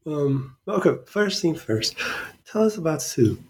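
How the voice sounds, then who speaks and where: A man hosting a podcast interview, asking questions haltingly with many fillers. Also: a little high, big pitch swings, a little mellow, a little hiss or hum. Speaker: podcast host.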